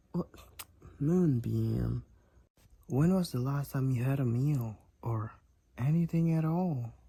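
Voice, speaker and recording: A man voicing a devoted, protective vampire boyfriend with courtly old-fashioned phrasing and a husky, creaky voice, in an intimate roleplay audio. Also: mid-range voice, warm tone, full character voice. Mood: concerned, insistent. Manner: archaic, courtly phrasing, tender endearments. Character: devoted, overprotective young-looking male vampire boyfriend, 128 years old.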